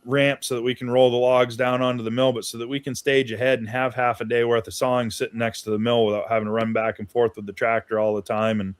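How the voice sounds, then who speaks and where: A man's voice: mid-range voice, level tone, fast, hardly any pauses, clean tone, dry recording. A man from Maine telling stories in a casual livestream chat, easygoing and folksy. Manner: easygoing storyteller, folksy asides. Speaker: guest.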